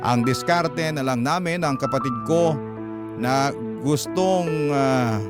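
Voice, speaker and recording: A man's voice: medium pitch, takes it slowly. A man reading a listener's letter as a radio drama narrator, in dramatic scripted storytelling style. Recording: music bed under narration.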